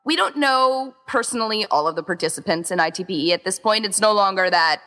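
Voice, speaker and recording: A woman's voice: mid-range voice, quick pace, pushed and bright. A woman chatting casually as an interview guest, rambling along and quoting imagined remarks as she explains how she organizes things. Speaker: interviewed co-founder and organizer of a fan audio exchange. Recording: long echoing reverb.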